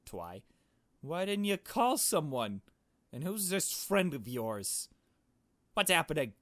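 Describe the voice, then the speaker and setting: Man doing an anxious, hesitant young woman, higher-pitched. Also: sing-song, fairly bright tone, dry recording, exaggerated voice. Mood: alarmed. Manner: nervous, halting, questioning.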